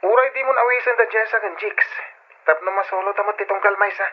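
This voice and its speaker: Young male suitor, smooth and polite, dull lo-fi radio drama sound. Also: sits high, quick pace. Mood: coaxing. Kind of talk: Filipino radio drama acting.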